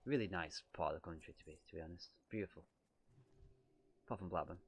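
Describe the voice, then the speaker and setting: A man's voice: sits low, quick pace, slightly rough, no room sound. Young lancashire guy streaming, reads out chat questions, rambly and jokes at his own expense. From Lancashire, England. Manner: self-deprecating, rambling, reads questions aloud. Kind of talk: casual livestream chat answering viewer questions.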